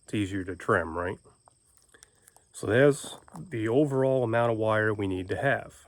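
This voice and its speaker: A man's voice: lowish voice, measured pace. A man with a folksy, plain-spoken manner, giving a casual, informal how-to explanation as a video host. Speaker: homestead video host and ham radio operator.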